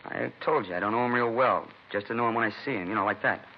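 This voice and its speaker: An actor playing an evasive, streetwise seventeen-year-old American delinquent in slangy, grudging radio drama dialogue. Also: male voice, lowish voice, quick pace. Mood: evasive, defensive. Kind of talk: 1950s radio drama acting. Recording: dull, noisy vintage radio recording.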